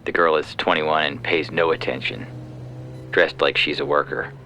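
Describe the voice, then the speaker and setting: A man plays a middle-aged government bigwig in a radio drama, with an extremely low, creaky voice that has a hard, forceful edge. He is self-important and cold, reporting in a clipped official manner over faint background noise. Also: steady tone, normal speed, exaggerated voice. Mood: officious. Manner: cold, officious, matter-of-fact. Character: callous, self-important male war secretary reporting an accident. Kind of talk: audio fiction reading as a phone call.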